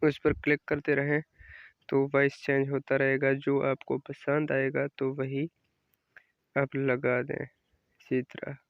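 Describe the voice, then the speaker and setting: A man's voice: medium pitch, monotone, slow pace, no room sound. A man hosting a casual, step-by-step app tutorial in an instructional way, often repeating his phrases. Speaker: tutorial host. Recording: dull lo-fi close dry recording.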